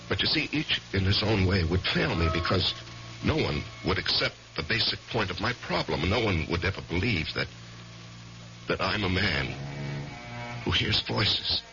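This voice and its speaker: An actor playing an anguished lawyer in his forties, halting and uneasy, in a 1970s radio mystery. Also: low-pitched. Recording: dull lo-fi 1970s radio recording.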